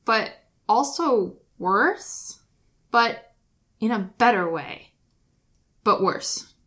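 A woman voicing a stiff, earnest young man who speaks bluntly and haltingly. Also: on the high side, animated, fairly bright tone, pitched above the performer's own voice, heavily acted.

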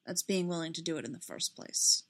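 A woman voicing a restrained, self-reproaching man in a story reading, with a quiet, measured, dryly formal manner. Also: sits low.

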